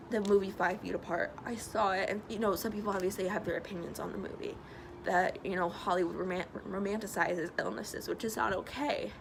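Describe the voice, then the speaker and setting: A woman's voice: mid-range voice, vocal fry. A young woman with a stuffy nose, answering questions in a casual vlog outdoors. She talks in an earnest, chatty way with many ums. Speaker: vlogger. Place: outdoors by a loud road. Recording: noisy outdoor recording. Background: passing traffic.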